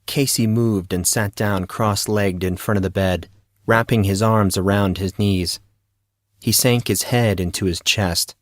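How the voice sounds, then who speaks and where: A man narrates a fiction audio drama in a low register. The sound is dry, with very little room on it, and the delivery is scripted storytelling. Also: fairly even intonation, unhurried, dry recording.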